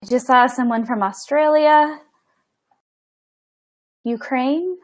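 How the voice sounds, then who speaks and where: A woman's voice: high-pitched, slow. A woman with a Minnesota accent, a guest educator on a webinar, speaking in a friendly, eager way over a video call. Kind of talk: webinar conversation.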